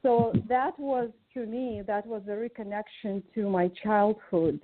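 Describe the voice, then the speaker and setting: A woman from Ukraine speaking as a guest on a group call, sharing personal reflections in an earnest, hesitant way with pauses between short phrases. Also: mid-range voice, fairly even intonation. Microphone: phone call. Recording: tinny, band-limited phone line.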